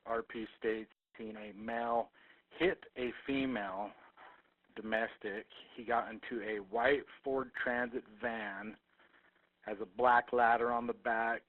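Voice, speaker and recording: A man's voice: mid-range voice, steady tone, measured pace, no room sound. A man relaying details tersely as a dispatcher over police radio. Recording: tinny, narrow-band police radio recording.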